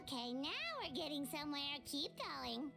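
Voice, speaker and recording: Very high, childlike little girl. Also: normal speed, vocal fry, loud music behind the voice. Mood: eager, inquisitive. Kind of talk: video game voice acting.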